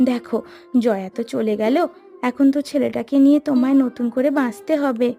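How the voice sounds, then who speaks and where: A woman's voice: on the high side, fairly even intonation, a little fast, vocal fry, dark tone, faint music behind. A woman voicing a practical, meddling village aunt in a cartoon. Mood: advising. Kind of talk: cartoon voice acting.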